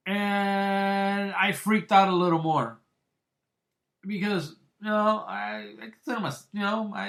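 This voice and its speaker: A man's voice: high-pitched, vocal fry, a bit bright. Rambling male podcast host, blunt talk. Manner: rambling, many restarts and fillers, blunt and crude. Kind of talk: casual boxing podcast commentary.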